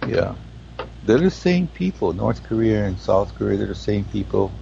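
Very deep gravelly man, slow and halting. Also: very animated, warm tone, dull audio, slight background noise. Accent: Hawaiian. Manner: slow, halting, searching for words. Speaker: guest. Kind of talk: spiritual conversation.

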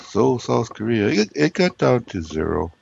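A man with a hoarse, froggy voice, chatting loosely as a regular guest and rambling with many ums and uhs. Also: mid-range voice, very animated, moderate pace, a little mellow, lo-fi sound, a little hiss or hum. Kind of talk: casual conversation.

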